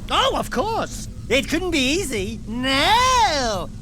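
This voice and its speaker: Casting: wisecracking cartoon animal sidekick, cowardly yet boastful, high-pitched and animated. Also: male voice, background noise, heavily acted. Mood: indignant. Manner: brash, whiny, fast-talking braggart. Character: cocky little wisecracking animal sidekick, male, excitable, cowardly. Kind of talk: animated video game cutscene acting. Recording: loud music under the voice.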